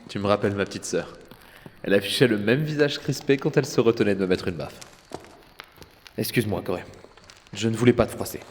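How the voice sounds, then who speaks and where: Actor for a cocky male treasure hunter, audio drama; deeper register, wry and wary, long hall reverb. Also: fairly quick, long pauses, natural voice. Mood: apologetic. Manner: wry, teasing, sarcastic. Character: roguish adventurer, wary, cocky, teasing scarred treasure hunter. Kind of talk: French audio drama acting. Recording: reverberant hall, faint background noise.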